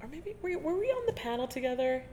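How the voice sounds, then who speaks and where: A woman interviewing a colleague in a relaxed, chatty way, often trailing off and restarting and laughing as she talks. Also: high voice, fast, a crowd in the background. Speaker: interviewer, teaching artist. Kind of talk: conversational interview. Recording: reverberant room with faint ambience.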